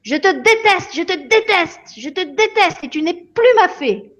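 A woman's voice: expressive, measured pace. A woman playing a tearful angry little girl. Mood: furious. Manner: high, pleading, insistent.

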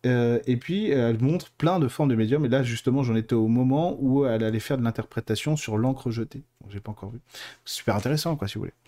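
A man's voice: mid-range voice, fast, warm tone. A man hosting a live stream, talking casually and informally to his viewers in a chatty, digressive way. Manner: informal, colloquial wording. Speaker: host of a live stream on mediumship and clairvoyance. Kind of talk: casual live talk answering viewer questions.

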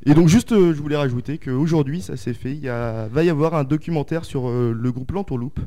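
Male guest, dark mellow tone, halting delivery. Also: fairly high pitch. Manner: hesitant, full of restarts. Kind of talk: casual chat.

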